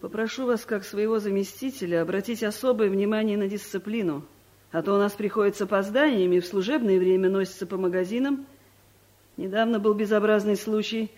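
A woman's voice: medium pitch, steady tone, brisk, darkish tone, lightly acted. Actress playing a stern woman director. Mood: lecturing. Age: middle-aged. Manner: stern, curt, lecturing. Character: domineering middle-aged woman office director. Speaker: office director. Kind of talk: 1970s studio radio comedy acting.

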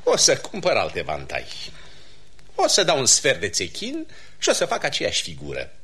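An actor playing a breezy, self-important count in a classic radio theatre comedy, with pompous theatrical delivery. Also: male voice, medium pitch, huge pitch swings, sharp tone, slight background noise, exaggerated voice. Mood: self-satisfied.

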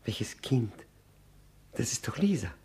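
An actor playing a nervous, self-abasing widower who drinks, stammering and repeating himself in an old radio play. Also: male voice, mid-range voice, sing-song, takes it slowly, warm tone, husky, exaggerated voice. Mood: nervous, rambling. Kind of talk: 1960 German radio play acting. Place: radio drama studio.

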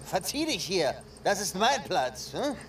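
An actor playing a gruff, territorial man snapping orders at an intruder in a radio drama. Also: sits high, very animated, unhurried. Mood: angry. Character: gruff, territorial man angrily chasing someone off. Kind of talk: German radio crime drama. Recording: loud noise, clicks behind voice.

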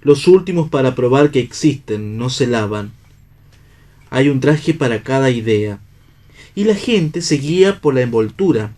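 An actor in a radio play voicing an idealistic worker-philosopher, declamatory and sententious. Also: male voice, mid-range voice, lively intonation, a little slow, darkish tone, close to an everyday voice. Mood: preaching, resolute. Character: idealistic man in worker's clothes, preachy philosopher. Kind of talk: read radio theatre.

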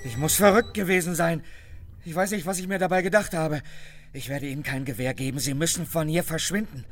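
A man's voice: a little high, brisk, faint music behind, close to an everyday voice. An actor playing an anxious, hesitant man who is drawn reluctantly into a criminal's scheme in a radio play. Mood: anxious, regretful.

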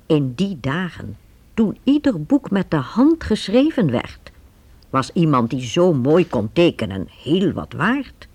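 Casting: slow, husky-voiced narrator for a radio play. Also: male voice, fairly high pitch, sing-song, darkish tone. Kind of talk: scripted narration.

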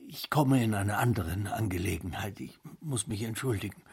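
An actor playing a nervous, aggrieved little theatre buffet manager in a radio drama, husky, flustered and apologetic. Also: male voice, fairly low pitch, fairly quick, darkish tone, exaggerated voice.

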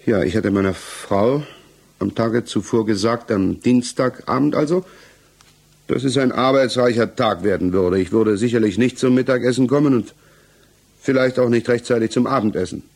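Husky, halting accused man. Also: medium pitch, darkish tone. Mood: subdued, halting. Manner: halting, hesitant, subdued. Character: cornered, dejected accused husband under interrogation. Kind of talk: 1960s German radio drama acting. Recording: mono 1960s radio recording.

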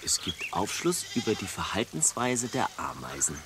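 A man's voice: lowish voice, huge pitch swings, moderate pace, very noisy. An actor playing a curious, content young man in a radio drama, eagerly explaining. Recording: outdoor birdsong ambience.

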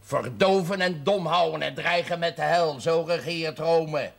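Gruff, drunken, scornful father. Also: on the high side, a little slow. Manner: coarse, scornful, blustering. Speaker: actor in a television drama series. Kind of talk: television drama dialogue. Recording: noisy old television soundtrack.

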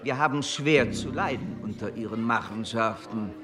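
A man's voice: medium pitch, moderate pace, crowd noise, natural voice. A man acting in a German radio drama as a haughty, uneasy foreign embassy secretary with a guarded, formal way of talking. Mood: defensive, indignant. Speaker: actor in a radio play.

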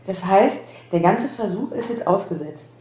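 A woman's voice: medium pitch, lively intonation, moderate pace, warm tone, close to an everyday voice. A woman playing an assertive, wry test participant in a naturalistic radio drama. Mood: indignant, sarcastic. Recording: roomy sound, faint noise.

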